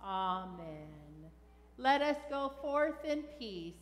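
A woman pastor leading a funeral liturgy in a measured, solemn way in a large church. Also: mid-range voice, sing-song. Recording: echoey church hall with background noise.

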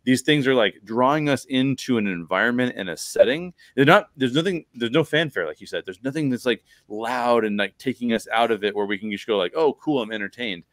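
A man's voice: mid-range voice, brisk, hardly any pauses, no room sound. A middle-aged man hosting a conversational podcast, explaining film craft in an emphatic way, close on a microphone. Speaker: podcast host. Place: bedroom, video call.

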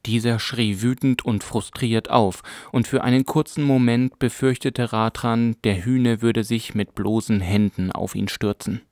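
A man narrating a fantasy audiobook in a calm, measured storytelling manner. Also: mid-range voice, dry recording, studio quality. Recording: music bed under narration.

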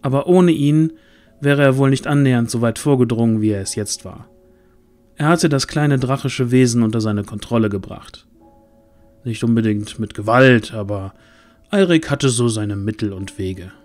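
Guy narrating a fantasy audio play, dramatic, normal mid pitch, bit of music underneath. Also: moderate pace, darkish tone.

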